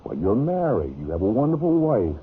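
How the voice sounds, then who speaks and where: Deep-voiced betrayed husband, old radio drama. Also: male voice, very animated, a little fast, breathy edge, heavily acted. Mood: feigned friendliness. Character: wronged husband, genial but pointed business partner. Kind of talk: 1950s radio drama acting. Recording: muffled, hissy old radio recording.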